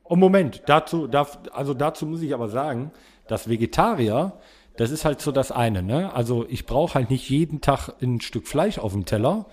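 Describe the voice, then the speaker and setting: A man's voice: mid-range voice, lively intonation, moderate pace, a little mellow. A man hosting a podcast, talking in a casual, conversational style. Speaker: podcast host. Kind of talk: casual chat.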